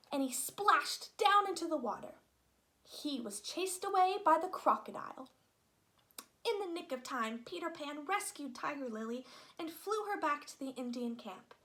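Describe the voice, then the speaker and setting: A woman reading a children's story aloud as an expressive narrator. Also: high voice. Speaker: storybook reader.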